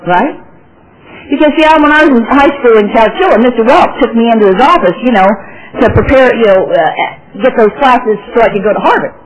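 A woman's voice: a little high, a little fast, highs cut off. Fields: twangy woman telling her story. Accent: Southern American twang. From California, USA. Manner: rambling, folksy storytelling with repeats and restarts. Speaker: speaker sharing her recovery story with an audience. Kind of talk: personal testimony talk.

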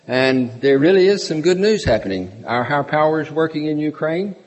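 A man's voice: a little high, lively intonation, fairly quick, in a large hall, lo-fi sound. A man hosting a meeting, introducing a guest speaker in a chatty, joking way. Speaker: meeting host.

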